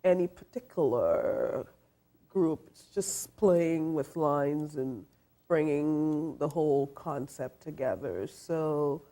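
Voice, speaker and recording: A middle-aged woman from Trinidad and Tobago, a fashion designer, answering interview questions in a soft, thoughtful way with many pauses and fillers. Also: low-pitched. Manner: soft-spoken, thoughtful, many ums and uhs. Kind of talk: interview guest.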